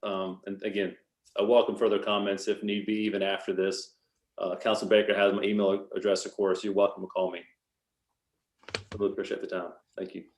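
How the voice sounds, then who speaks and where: A man's voice: sits low, level tone, a little fast. A man presenting at a virtual meeting, agreeable and deferential, in informal business speech. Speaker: project presenter. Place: virtual meeting call, echoey room. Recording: echoey room on call.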